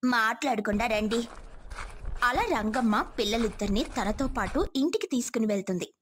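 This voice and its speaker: A woman's voice: fairly high pitch, expressive, brisk, a little hiss or hum. A woman telling a scripted moral story as its narrator.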